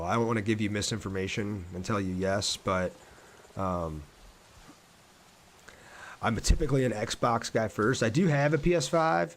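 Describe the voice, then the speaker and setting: A man streaming a video game, chatting casually and conversationally with his viewers as he plays. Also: medium pitch. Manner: conversational, reads viewer comments aloud and answers them. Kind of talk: casual livestream chat while playing a game.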